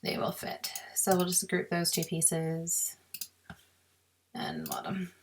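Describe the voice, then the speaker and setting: A woman with a sore, scratchy throat, explaining a crafting software task step by step in a chatty way and muttering to herself as she clicks. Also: on the low side, huge pitch swings, slow pace. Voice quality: raspy with creaky fry. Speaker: crafting tutorial host. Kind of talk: screen-recorded software walkthrough.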